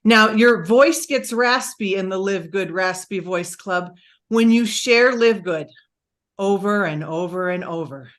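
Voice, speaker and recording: A woman giving an excited, energetic motivational talk on a team video call. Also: medium pitch, a little slow. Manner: energetic, enthusiastic, storytelling. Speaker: presenter on a team training call.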